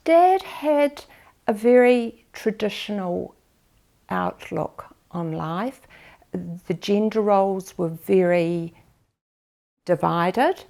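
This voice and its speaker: A New Zealand woman in her fifties or sixties tells her family's story for a documentary in an unhurried, thoughtful way. Her voice carries a gravelly edge, and she takes her time with frequent pauses. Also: medium pitch, lively intonation, mellow. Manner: measured and reflective, with pauses. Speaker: documentary interviewee. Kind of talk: personal family memoir.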